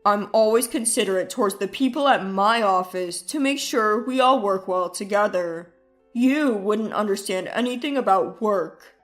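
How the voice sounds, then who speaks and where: A woman's voice: medium pitch, normal speed, soft background music, pitched below the performer's own voice, lightly acted. A woman voicing a boastful, defensive husband who is contemptuous of his wife, blustering through a dramatized family story. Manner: blustering, dismissive.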